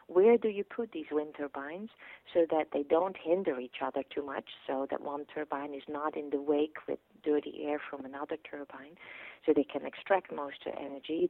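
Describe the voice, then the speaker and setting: A woman's voice: low voice, no room sound. A woman from the Netherlands, a professor explaining engineering in an interview, thoughtful and explanatory, often restarting her sentences. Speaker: professor, guest expert. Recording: tinny phone line.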